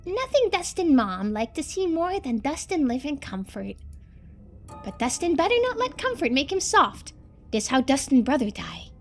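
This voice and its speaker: A woman's voice: sits high, sing-song, moderate pace, soft background music, pitched above the performer's own voice. A woman voicing a small talking rodent in a video game, speaking in broken, childlike third-person grammar, proud of simple treats. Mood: earnest, musing.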